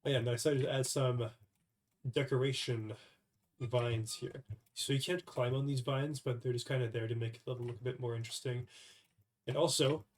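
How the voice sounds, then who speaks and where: A man's voice: mid-range voice, fairly even intonation, moderate pace. A young man with a cold, sounding stuffy, talking casually and hesitantly through a devlog with many fillers and restarts. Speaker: indie game developer. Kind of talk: casual devlog screen recording.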